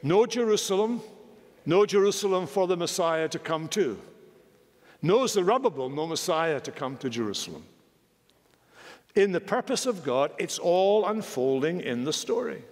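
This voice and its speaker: A man in his sixties preaching a sermon from a pulpit in a large wood-panelled church, emphatic and measured, with wide swings of pitch. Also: lively intonation, darkish tone. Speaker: senior minister preaching. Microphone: pulpit microphone. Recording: long church hall reverb.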